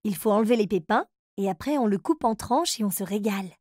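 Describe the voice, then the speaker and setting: A woman's voice: mid-range voice, animated, fairly quick, a little mellow, dry recording, clean studio sound, close to an everyday voice. A woman voicing a kind, encouraging grown-up who leads a riddle game for little children in a cartoon, speaking in simple phrases. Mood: kindly, explaining.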